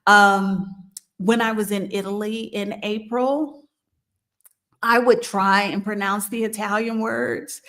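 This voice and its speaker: Woman host, casual advice chat, slow unhurried delivery, mid-range voice, thinks aloud with ums. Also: medium pitch, fairly even intonation, a bit bright. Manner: unhurried, thoughtful, frequent ums and restarts. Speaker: host of a move-abroad channel. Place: living in Mexico.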